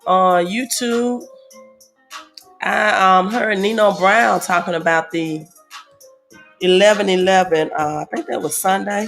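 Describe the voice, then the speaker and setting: A woman chats casually on her livestream, her voice gravelly and a little hoarse from a cold. She talks loosely with plenty of fillers, reading out viewer comments as they come in. Faint music sits behind her. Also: medium pitch. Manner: chatty, reads viewer comments aloud, many fillers. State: slightly hoarse from a head cold. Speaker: livestream host.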